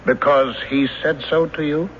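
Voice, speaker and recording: An actor in a radio drama playing a skeptical police lieutenant, questioning in a measured, probing manner. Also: male voice, on the high side, steady tone, natural voice. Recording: dull hissy old radio recording.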